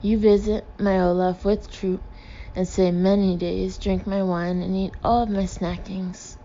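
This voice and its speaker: A woman's voice: mid-range voice, flat delivery, unhurried, dark tone, muffled recording, slight background noise, close to an everyday voice. A woman voicing a gushing, pleading female carnival fortune-teller in a storybook, with a foreign accent and broken English. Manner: broken English with odd word order.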